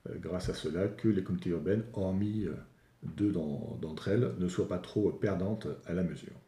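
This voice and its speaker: A man with a very dark, mellow voice gives an analytical explanation in a roomy, echoing space. His pitch swings widely as he stresses key points. He teaches in a halting, step-by-step way, pausing and rephrasing as he goes. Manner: didactic, hesitant with frequent pauses, restating points in plainer terms. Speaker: local public finance analyst explaining a reform. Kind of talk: spoken explanatory analysis, semi-improvised presentation.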